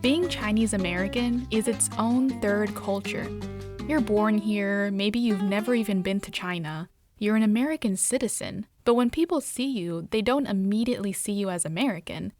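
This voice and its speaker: A woman from New York, a journalist, reading a scripted personal story in a reflective, measured way. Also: medium pitch, music in the background.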